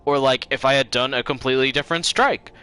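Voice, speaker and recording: A man's voice: medium pitch, fast, pushed and bright, clean tone. A man hosting a game livestream, switching between casual chat and dramatic reading aloud. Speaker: livestream host playing games and reading aloud.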